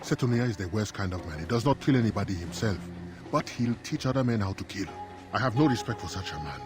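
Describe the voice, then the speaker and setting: Fast-talking, scornful militia boss, acted by a man. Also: lowish voice, lively intonation, lightly acted. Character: brash African male militia boss, scornful and suspicious. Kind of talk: video game cutscene acting. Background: music.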